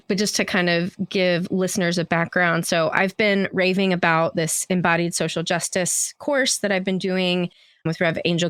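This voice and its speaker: A woman's voice: lowish voice, a bit bright, clear, resonant tone, dry recording, clean studio sound. A woman hosting a podcast, chatting casually and informally in an effusive way. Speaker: podcast host. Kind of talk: casual warm interview chat.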